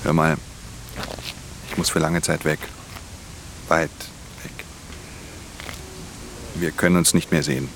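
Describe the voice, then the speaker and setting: A man's voice: fairly even intonation, slow, long pauses, a bit bright, a little hiss or hum, full character voice, low-quality recording. An actor playing a weary, hard-edged thief in a radio drama, speaking in a terse, subdued way as he narrates and talks. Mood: subdued, earnest. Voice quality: very deep, gravelly. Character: weary, hard-edged male thief narrating his own crime story. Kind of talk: radio drama, first-person narration and dialogue.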